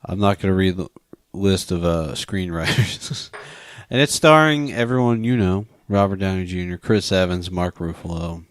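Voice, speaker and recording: A man with a fairly low voice hosts a casual film chat show. He teases and swears freely as he talks. The sound is dry, with hardly any room on it. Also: normal speed, no room sound. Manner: profane, teasing. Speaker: podcast host. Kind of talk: casual movie review chat.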